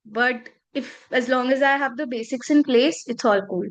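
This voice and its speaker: Female guest, ~30, native Punjabi speaker, candid reflective delivery, pitched a touch high. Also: moderate pace. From northern India. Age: 30s. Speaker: podcast guest. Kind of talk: casual interview conversation.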